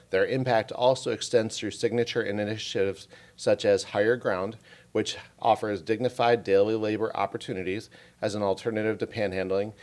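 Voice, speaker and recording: A man's voice: on the low side, a little mellow. A man hosting a podcast interview in a friendly way, with frequent "uh" and "um" fillers. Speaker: podcast host. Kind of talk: podcast interview, reading a guest intro.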